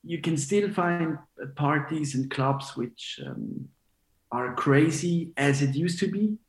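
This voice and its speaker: A man of forty, raised in Switzerland, talking in a relaxed, rambling way as a DJ guest, with many fillers and restarts. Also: fairly high pitch, takes it slowly, echoey room. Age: 40s. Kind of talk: casual interview chat.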